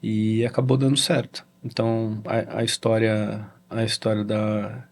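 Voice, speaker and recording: A middle-aged Brazilian man with a Brazilian Portuguese accent, a guest in a casual interview, telling a story in halting sentences with frequent "né?" tags. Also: lowish voice, level tone. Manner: halting, with many restarts and "né?" tags. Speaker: interview guest, real estate executive.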